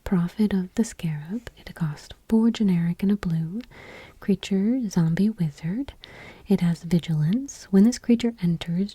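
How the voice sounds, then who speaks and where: A woman speaking in a soft, breathy whisper, reading aloud gently and unhurriedly in an ASMR style. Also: on the low side, dry recording. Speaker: host of an ASMR channel.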